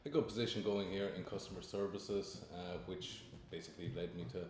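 A young man with an Irish accent speaks in a low, deep voice, halting now and then and doubling back on words. He sits for an interview in an office. The room rings with heavy echo. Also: steady tone, background noise. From Ireland. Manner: hesitant, repeats words. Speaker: employee interviewee. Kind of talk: recruitment video interview. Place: office, seated indoors.

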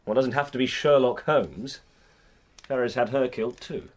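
A man playing a bereaved young son in a radio drama. His voice is husky and medium in pitch. He is blunt, indignant and headstrong. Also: moderate pace, natural voice. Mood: bitter. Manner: blunt, bitter.

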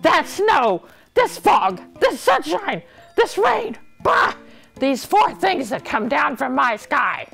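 A woman's voice: a little high, steady tone, a little slow, few pauses, faint music behind. A woman voicing an irate, bossy old king in a storybook, blustering and imperious. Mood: angry, fed up.

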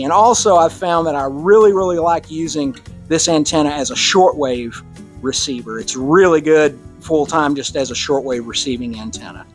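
A man with a Texan accent presenting to a radio club, explaining in a relaxed, conversational way with casual asides. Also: a little high. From Texas. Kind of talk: technical slide presentation. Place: club meeting room.

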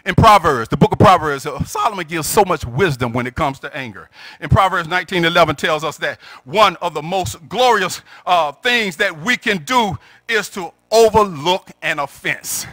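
A man's voice: high-pitched, animated, normal speed, dry recording. A middle-aged American man preaching an impassioned sermon as a pastor, emphatic and exhorting at a pulpit microphone. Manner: emphatic, exhorting, often rising into shouts. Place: church sanctuary, at the pulpit. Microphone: gooseneck pulpit microphone.

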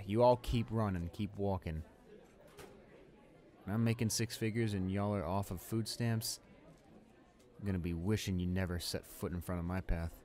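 Audio roleplay bully: male actor as a young male student, lowish voice, arrogant, irritable and dismissive. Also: a little mellow. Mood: irritated. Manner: smug, dismissive, muttering to himself.

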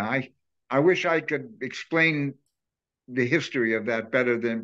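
A man's voice: medium pitch, a bit bright. An older man chatting as a guest on a video call from his home, rambling and enthusiastic. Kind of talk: casual interview chat. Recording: dull lo-fi video call audio.

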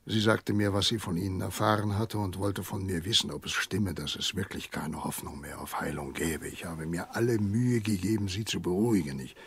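An actor playing a grave, kindly elderly family doctor in a radio play, speaking in a measured, husky voice. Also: male voice, low voice, full character voice. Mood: gentle, serious. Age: older. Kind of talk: German radio play acting.

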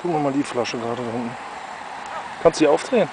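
A man in his thirties, a father and outdoor vlogger, casually explaining his food and gear to viewers outdoors by a river. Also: mid-range voice. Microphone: handheld camera mic. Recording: noisy outdoor camera audio by a river. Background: rushing river water.

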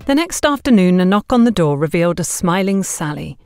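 A woman's voice: fairly low pitch, very animated, fairly quick, darkish tone, faint music behind. A woman working as an audiobook narrator, reading scripted story narration.

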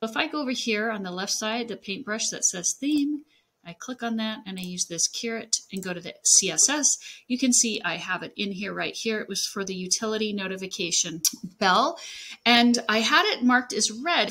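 A woman narrating a screen-share software tutorial, explaining things step by step. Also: mid-range voice, expressive, moderate pace.

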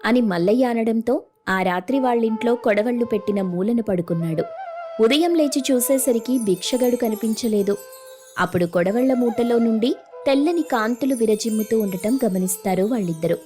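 A woman narrating a scripted animated moral story as the storyteller. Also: fairly high pitch, normal speed. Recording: music under the voice.

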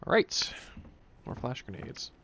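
A man's voice: takes it slowly, background noise. A man giving casual let's play commentary, muttering to himself in a wry, joking way and swearing now and then. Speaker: video game streamer.

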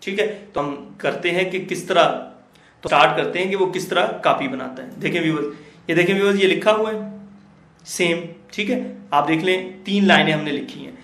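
A man walks viewers through a software tutorial, step by step. His voice sits a touch higher than usual for a man, and he keeps checking that his audience is following. The recording has a noticeable echo from the room around the desktop mic. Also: normal speed. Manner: steady explaining, often checks in with listeners. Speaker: computer tutor. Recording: echoey room.

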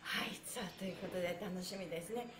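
A woman's voice: on the low side, fast, fairly bright tone, slight background noise. A cheerful, encouraging woman instructing a livestream stretch class, chatty and giggly, with chanted phrases as counts. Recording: echoey room.

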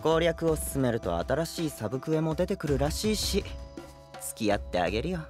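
An actor playing an easygoing, indulgent young warrior in an anime voice drama. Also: male voice, fairly high pitch, big pitch swings, moderate pace, music in the background.

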